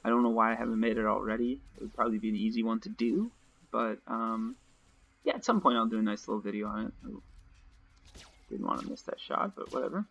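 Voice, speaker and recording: A young man casually commentating his gameplay nonstop, his voice a little raspy from long talking. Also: lowish voice, fast, a bit bright. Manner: chatty, rambling, nonstop talk with fillers. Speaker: let's play host. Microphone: home desk mic. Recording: dull lo-fi home mic.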